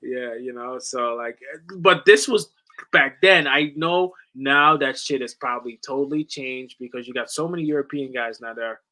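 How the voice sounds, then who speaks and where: A man in his late twenties talks sports in a casual, slangy way, dropping swear words and "bro" as he goes. His voice sits at a middle pitch with a bright, forward edge. He comes from the Netherlands and moved to America at ten. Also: moderate pace. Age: late 20s. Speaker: sports watch-along streamer.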